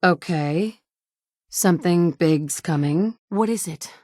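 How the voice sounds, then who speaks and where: A woman's voice: fairly low pitch, slow, warm tone, no echo, studio quality. An actress in her thirties playing a blunt, sardonic mother in a game, with clipped one-liners. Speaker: player character. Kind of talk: video game dialogue lines. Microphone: studio mic.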